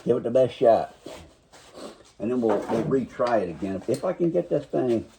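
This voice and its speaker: Quiet gravelly man in his garage. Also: mid-range voice, expressive, normal speed, background noise. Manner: quiet, mumbling while working. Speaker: car restoration hobbyist. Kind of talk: casual workshop talk to camera. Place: home garage workshop.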